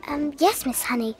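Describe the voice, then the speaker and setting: Casting: small, clever British girl for children's audio drama, high pitch, polite, precise delivery. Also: a little hiss or hum, heavily acted. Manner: quiet, precise, well-mannered. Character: polite, bright, bookish little schoolgirl.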